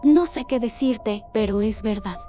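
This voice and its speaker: A woman's voice: fairly high pitch, measured pace, dark tone, faint music behind, lightly acted. A woman playing an earnest, puzzled friend who speaks plainly and insistently. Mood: earnest, defensive.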